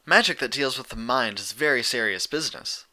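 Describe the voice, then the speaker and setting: A man's voice: mid-range voice, a little fast. A man voicing an earnest, bookish female pony who explains things eagerly and a little awkwardly, in a storybook reading. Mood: thoughtful. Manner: explanatory, excitable, slightly awkward.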